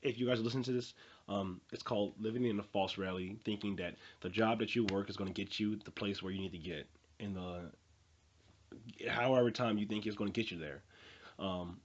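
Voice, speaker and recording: An earnest young man in his twenties gives a rambling motivational talk, full of fillers and repeated phrases. His voice sits fairly low and sounds husky. The recording is dull and muffled. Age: 20s. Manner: earnest, repetitive, with many fillers and restarts. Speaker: motivational vlogger. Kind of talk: unscripted motivational monologue.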